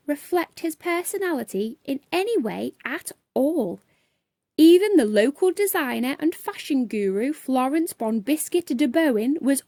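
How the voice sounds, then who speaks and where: A woman reads a children's storybook aloud, expressively and with dramatic, deliberate emphasis. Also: high voice, dark tone, no echo. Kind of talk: expressive bedtime story narration.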